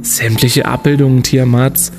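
A man's voice: medium pitch, level tone, measured pace, close to an everyday voice. A man playing a declamatory young student sleuth over music. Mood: explaining. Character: clever young male student sleuth, confident and explaining. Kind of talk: German comedic audio drama acting.

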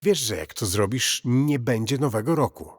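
A man voicing a grim, enigmatic archivist who gives solemn, measured warnings of doom in a horror audio drama. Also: mid-range voice, animated, breathy edge. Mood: grave warning. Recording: cavernous long reverb.

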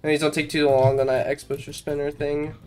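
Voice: medium pitch, little pitch movement, quick pace, a little mellow, clean tone. A casual game commentator talking through a walkthrough in an informal way, with self-mocking asides. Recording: music behind the voice. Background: game music.

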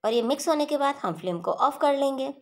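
Woman with a high voice doing a calm cooking tutorial voiceover, chatting to viewers like friends. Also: fairly quick. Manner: steady instructional, addresses viewers as friends. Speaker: cooking channel host. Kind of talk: voice-over recipe tutorial.

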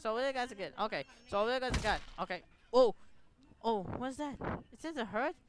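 A gaming video host with a Filipino accent giving casual, excitable, repetitive commentary on a game. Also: mid-range voice, moderate pace, noisy background. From Philippines.

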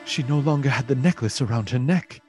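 A man's voice: medium pitch, brisk, music in the background. A man narrating a literary radio play in a formal, theatrical storytelling manner.

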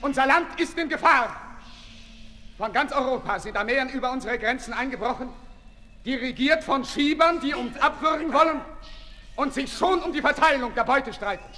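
An actor in a 1960s German radio play, playing a fiery revolutionary tribune with urgent, forceful oratory. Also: male voice, very high-pitched, normal speed. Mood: fiery, accusing. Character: fiery male revolutionary tribune haranguing the National Assembly. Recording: reverberant 1960s radio recording.

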